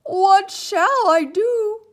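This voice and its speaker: A woman voicing an excitable, stubborn, anxious male toad in a children's storybook, with a loud, wailing, exclamatory delivery. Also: very high voice, very slow, pitched above the performer's own voice, exaggerated voice. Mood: dismayed.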